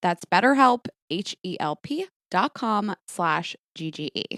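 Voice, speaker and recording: A woman with a fairly low voice reads a sponsor message. The delivery is scripted, as in a podcast ad, and the sound is close and dry with no room echo. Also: lively intonation, measured pace, a bit bright. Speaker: ad reader.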